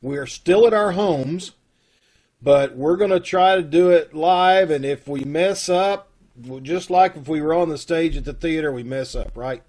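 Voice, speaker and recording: A man's voice: on the high side, normal speed, a little mellow. A middle-aged man hosting from home on a video call, announcing and chatting casually. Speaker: host introducing a live radio troupe show. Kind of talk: casual live announcing and chat.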